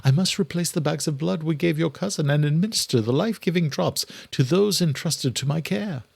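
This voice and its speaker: A man's voice: on the high side, brisk, gravelly, darkish tone, husky, no echo, studio quality, voice pushed up. A man voicing a devoted, dutiful vampire caretaker woman in an audiobook, speaking formally and deferentially. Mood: dutiful, pleading. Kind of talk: dramatic audiobook character voice.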